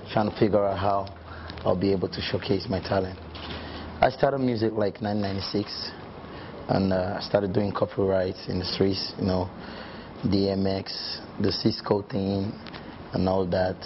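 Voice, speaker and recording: A young Nigerian man giving casual interview answers, often adding "you know" as he goes. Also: deep voice. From Delta State, Nigeria. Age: young adult. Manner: frequent "you know" asides. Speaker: singer and former rapper being interviewed. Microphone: clip-on lapel mic. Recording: dull sound with light background noise.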